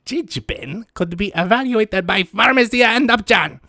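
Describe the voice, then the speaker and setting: A man voicing a pompous, theatrical cartoon mad scientist villain, pitched high. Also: unhurried, bright, forceful tone, dry recording, clean studio sound, heavily acted.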